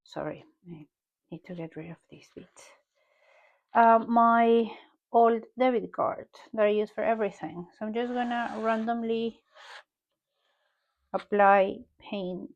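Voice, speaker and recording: A woman from Peru with a strong Peruvian Spanish accent, talking casually through an art journal page as she paints, often pausing and correcting herself as she thinks aloud. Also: a little high, muffled recording. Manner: musing aloud while painting, unhurried and self-correcting. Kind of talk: casual art tutorial narration.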